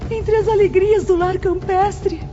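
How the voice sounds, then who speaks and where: Anguished pleading wife, melodramatic, imploring, high voice, muffled recording, loud music underneath. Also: female voice, fairly even intonation, a little slow. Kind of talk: audiobook drama acting. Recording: dull lo-fi, music behind.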